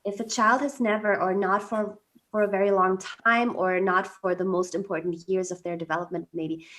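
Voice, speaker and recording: A woman's voice: medium pitch, little pitch movement, normal speed, a bit bright. A woman coach hosting a podcast interview, talking in a reflective, supportive way. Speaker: podcast host, expat coach. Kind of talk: interview conversation.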